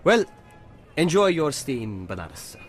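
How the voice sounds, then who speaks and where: Stern, sardonic police inspector. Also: male voice, on the high side, very animated, normal speed, soft background music. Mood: stern, warning. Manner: curt, warning, sardonic. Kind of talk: radio drama acting.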